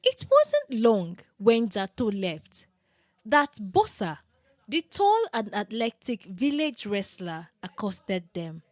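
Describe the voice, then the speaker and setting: A woman narrating a scripted folktale in an expressive, deliberate storytelling manner. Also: mid-range voice, no room sound, studio quality.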